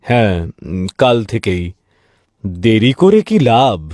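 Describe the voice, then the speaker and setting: A man playing a stern, honest, weary middle-aged father and shopkeeper in a cartoon, speaking gravely and emphatically. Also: mid-range voice, very animated, takes it slowly, a little mellow, no echo, clean studio sound. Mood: resigned. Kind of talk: cartoon voice acting.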